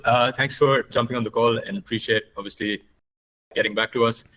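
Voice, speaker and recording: A man's voice: medium pitch, steady tone, brisk, fairly bright tone, poor audio. A man on a video call opening a sales conversation, slightly hesitant with fillers.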